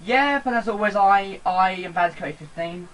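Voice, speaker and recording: A man's voice: high voice, normal speed, fairly bright tone, slight background noise, low-quality recording. A man chatting casually as a co-host of a Let's Play gaming video.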